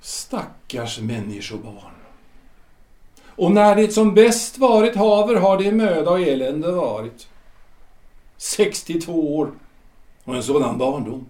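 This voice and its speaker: A man's voice: on the high side, a little mellow. A man voicing a solemn guide of the dead. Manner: grave, pitying, archaic phrasing. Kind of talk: audio drama acting. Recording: roomy echo.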